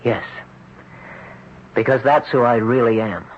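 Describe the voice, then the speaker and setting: A man acting a desperate, brooding young patient in an old-time radio drama, earnest and deliberate in his delivery. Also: medium pitch, lightly acted. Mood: grim, insistent. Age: 20s. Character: haunted, desperate young man trapped in another's body. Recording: muffled, noisy old radio broadcast recording.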